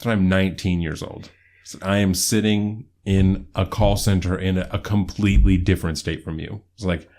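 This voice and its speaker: A man's voice: deep voice, fairly even intonation, moderate pace, a little mellow. A man in his twenties co-hosting a podcast, telling stories casually, laughing often and swearing freely. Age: 20s. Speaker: podcast co-host. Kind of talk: casual chat.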